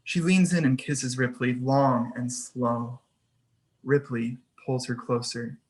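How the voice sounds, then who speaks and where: A narrator at a screenplay table read, with a voice of medium pitch. The delivery is scripted narration of scene headings and action. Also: fairly even intonation, slow, breathy edge. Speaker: narrator reading stage directions.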